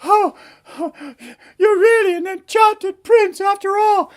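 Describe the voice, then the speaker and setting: A man's voice: very high-pitched, fairly even intonation, takes it slowly, voice pushed up. A man voicing a spoiled, gushing young princess in a comic fairy tale, with a singsong, whiny manner. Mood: dramatic.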